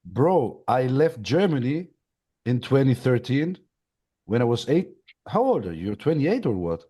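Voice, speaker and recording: Mellow-voiced young man, slangy host. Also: medium pitch, measured pace, slightly rough. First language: Greek. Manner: relaxed, slangy, calls guest bro. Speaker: podcast host. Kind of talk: casual podcast conversation.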